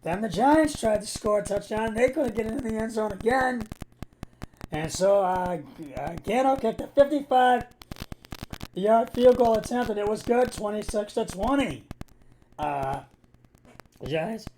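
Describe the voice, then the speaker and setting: Raspy, halting man recapping sports. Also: high voice, lively intonation, background noise. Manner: halting, hesitant delivery with frequent stumbles. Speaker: sports show host. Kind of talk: amateur football game recap.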